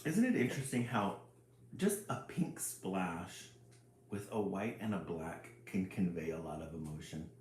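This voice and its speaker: A man hosting a casual, expressive storybook read-aloud and adding his own chatty asides. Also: sits low, unhurried. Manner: chuckling, expressive. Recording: roomy echo, some background noise.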